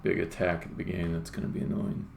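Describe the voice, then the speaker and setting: A man's voice: low-pitched, completely flat delivery, fast, vocal fry, a little mellow. A man doing casual live-coding commentary as a game developer on a stream, muttering to himself in short, informal remarks as he thinks aloud. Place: indoor room at a computer. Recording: roomy echo, faint background noise, keyboard typing.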